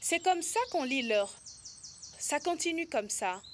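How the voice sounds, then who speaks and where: An eager young character in a children's educational cartoon. The voice is high and explains things plainly, helpfully. Faint outdoor ambience runs underneath. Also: female voice, lively intonation, a little slow, a little hiss or hum. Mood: patient, instructive. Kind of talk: educational cartoon dub acting.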